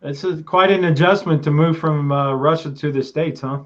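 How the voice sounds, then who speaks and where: A man's voice: on the high side, moderate pace. Man hosting over dull lo-fi video call. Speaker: podcast host. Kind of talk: interview hosting. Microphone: video call webcam. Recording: dull lo-fi call audio, faint hiss.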